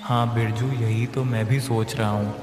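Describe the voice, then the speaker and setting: A man's voice: medium pitch, flat delivery, moderate pace, natural voice. A man voicing a distressed, respectful village man in a cartoon, earnest and pleading. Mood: troubled. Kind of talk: Hindi moral story cartoon dub. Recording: echoey reverb with clear background music.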